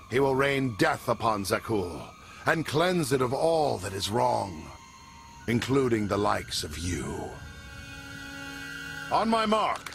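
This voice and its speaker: Game villain: menacing cult crime lord, theatrical and eloquent, lowish pitch, swooping intonation, music under the voice. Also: male voice, creaky, fairly bright tone, full character voice. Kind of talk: video game cutscene acting.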